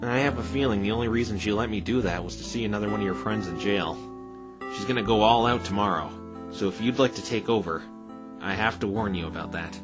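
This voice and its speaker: A man's voice: on the low side, fast talker, close to an everyday voice. A man playing an earnest, plain-spoken, wry male defense lawyer in conversational game-style dialogue. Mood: earnest, explaining. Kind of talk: fan dub of visual novel game dialogue. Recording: background music under the voice.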